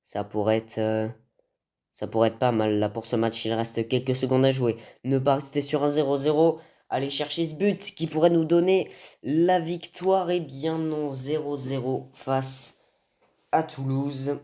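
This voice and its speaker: Male gaming host, medium pitch, hesitant football game commentary with frequent mid-phrase pauses. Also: flat delivery, mellow.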